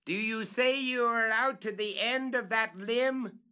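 A man voicing an excited treasure hunter who shouts orders up a tree in a raised, high voice. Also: a little slow, smooth, full character voice. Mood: excited, commanding.

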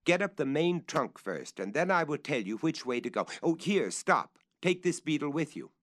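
A man voicing an excited treasure hunter who shouts orders up a tree in a raised, high voice. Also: moderate pace. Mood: excited, commanding.

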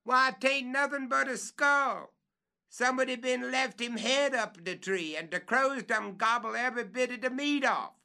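A man voicing an old servant who calls down from high in a tree in a strained, high voice with thick rural dialect. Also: sing-song, moderate pace, fairly bright tone. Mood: calling, wary. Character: old male servant calling down from a treetop.

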